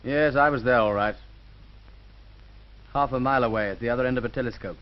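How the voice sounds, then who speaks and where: Male actor playing self-reproaching film man, medium pitch, subdued, clipped, bitter, 1930s lo-fi sound. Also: brisk, natural voice. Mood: bitter, resigned.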